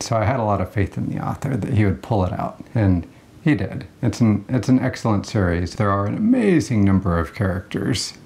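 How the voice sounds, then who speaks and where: A man co-hosting a casual book review, speaking in a measured, matter-of-fact way. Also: on the low side, creaky, a little mellow. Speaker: co-host of a book review show.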